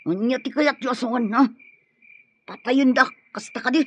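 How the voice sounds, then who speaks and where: An actor with a Filipino accent playing a surly, cagey, cornered gang henchman with a husky voice in a radio drama. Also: high voice, moderate pace, dull audio. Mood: cornered, provoked.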